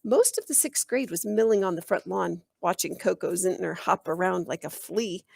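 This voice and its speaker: A woman reading a children's novel aloud as an expressive storyteller, in the manner of a teacher. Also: fairly low pitch, normal speed, vocal fry, husky, no echo, clean studio sound. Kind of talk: children's audiobook narration.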